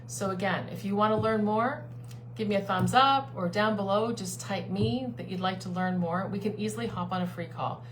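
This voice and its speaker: Woman, life coach, mid-pitched, earnest and persuasive, live stream with a steady hum behind her. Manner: earnest, persuasive, repeats key phrases. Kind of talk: live-streamed talk to a online group.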